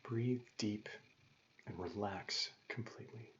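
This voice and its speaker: A man leading a guided meditation as a yoga teacher, calm and gentle, giving measured instructions with pauses. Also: on the low side. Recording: dull lo-fi recording with faint noise.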